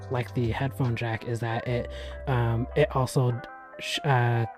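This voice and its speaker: A man hosting a gadget review channel, talking casually about a microphone in a soft voice with plenty of fillers. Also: mid-range voice. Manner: relaxed, chatty, many fillers. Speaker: tech reviewer, channel host. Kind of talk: casual product review vlog. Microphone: USB desk microphone.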